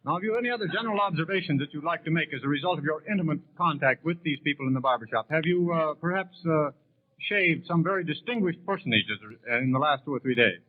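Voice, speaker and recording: An American man announces on a thin, narrowband 1930s radio broadcast. His pitch is slightly raised and his delivery very fast. He is genial and formal, with wordy interview questions. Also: expressive.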